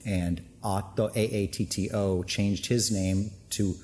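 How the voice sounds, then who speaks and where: A very low-pitched middle-aged man, a Finnish native speaker raised in Canada, talking casually about his winery. He rambles with many ums and restarts. The sound rings with a long echo from a big tank room, with a faint hum behind. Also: steady tone, measured pace, a little mellow. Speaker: winery owner and winemaker. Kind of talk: casual interview chat. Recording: long reverb, faint ambience.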